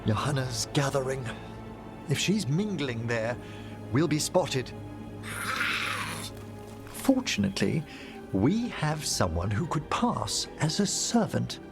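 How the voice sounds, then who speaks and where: An actor playing a refined, scholarly older necromancer in a video game, eloquent and formal, courteous but anxious. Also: male voice, mid-range voice, sing-song, takes it slowly, gravelly, pauses often, exaggerated voice. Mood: eager, purposeful. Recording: music behind the voice.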